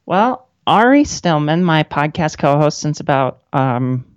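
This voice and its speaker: A woman's voice: low-pitched, big pitch swings, measured pace, no echo, muffled recording. A woman podcaster and writer chatting casually as an interview guest.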